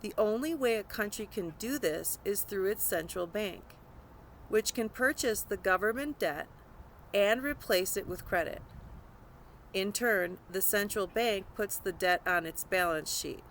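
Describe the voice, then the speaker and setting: A woman's voice: medium pitch, a little slow, a bit bright, slight background noise, low-quality recording. A woman narrating a scripted nonfiction audiobook, reading aloud.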